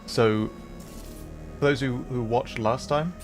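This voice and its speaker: A man's voice: mid-range voice, slow pace, music in the background. A man streaming a game live, explaining level design in an enthusiastic, rambling way. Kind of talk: live gameplay commentary.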